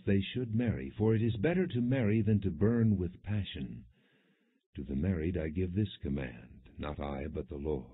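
A man narrating an audio Bible in a measured, solemn, non-dramatized reading, with muffled, narrow sound. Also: sits low, slightly rough, dry recording.

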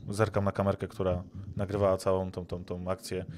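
A man playing a tabletop role-playing game, casually describing his actions with hesitant pauses. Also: low-pitched, completely flat delivery, darkish tone, slight background noise. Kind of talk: casual first-person game play.

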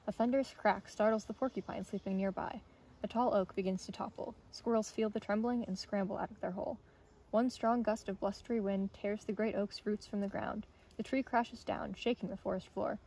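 A woman's voice: mid-range voice, fairly even intonation, brisk, mellow. A young woman reads a children's nature storybook aloud outdoors, recorded from a short distance away. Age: young adult. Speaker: intern reading a storybook aloud. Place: outdoors on a forest trail. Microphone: camera or phone a short distance away. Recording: clear outdoor ambient noise.

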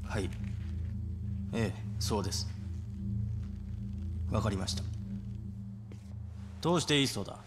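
A man's voice: lowish voice, expressive, unhurried, pauses often. An actor playing a menacing street thug guarding his turf in a game cutscene, brusque and threatening. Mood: curt. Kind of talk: Japanese game cutscene acting. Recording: loud music behind the voice.

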